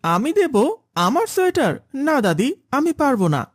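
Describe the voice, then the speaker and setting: A woman's voice: sits high, sing-song, normal speed, no room sound, studio quality. A woman voicing a proud, pampered young boy in a moral cartoon, with a childlike, self-assured manner. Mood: defiant, boastful. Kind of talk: Islamic moral cartoon dialogue.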